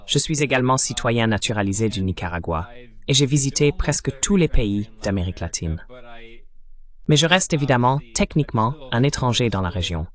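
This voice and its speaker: Male political commentator, explanatory and measured. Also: mid-range voice, animated. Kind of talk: dubbed interview commentary, explanatory.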